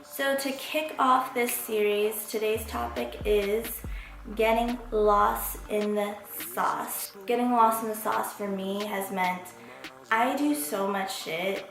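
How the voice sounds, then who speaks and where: A young woman in her twenties talks candidly and reflectively to the camera, swearing casually as she goes. Her voice has a strong vocal fry. It sounds echoey, with music clearly playing behind her. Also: mid-range voice, steady tone, slow pace, fairly bright tone. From Las Vegas, USA. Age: 20s. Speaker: vlog host. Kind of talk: casual vlog monologue. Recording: echoey room with background music.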